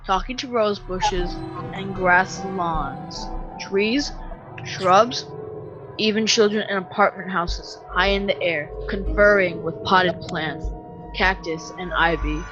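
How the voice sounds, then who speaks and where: A woman's voice: medium pitch, slow pace. A woman reading scripted narration for an audio drama, muffled, with music behind. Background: background music.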